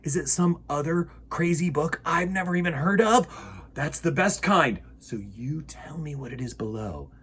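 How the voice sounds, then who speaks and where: A man's voice: a little high, moderate pace, slightly rough. A man hosting a children's storytime, reading and chatting expressively and speaking straight to young listeners. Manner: expressive, emphatic, addresses young listeners directly. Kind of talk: animated picture-book reading and chat to children.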